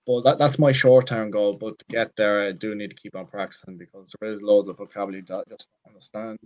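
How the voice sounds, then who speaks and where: A young Irish man in his twenties with a lowish voice. He chats as an interview guest, circling his point with restarts and fillers like "look" and "I suppose", and pokes fun at himself. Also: steady tone, quick pace, darkish tone, lo-fi sound. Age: 20s. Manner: rambling, self-deprecating, frequent restarts and fillers like "look" and "I suppose". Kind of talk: casual interview chat.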